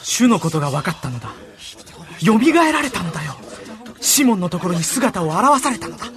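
A man playing an excited, commanding disciple in a radio drama, speaking with urgency. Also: high voice, a little fast, crowd noise. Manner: urgent, forceful. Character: excited, commanding male disciple Matthew, eager for news.

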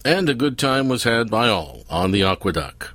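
A man's voice: mid-range voice, big pitch swings, normal speed, a bit bright, no room sound, faint music behind. A man hosting a radio programme, narrating a scripted travel report. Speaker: radio show host.

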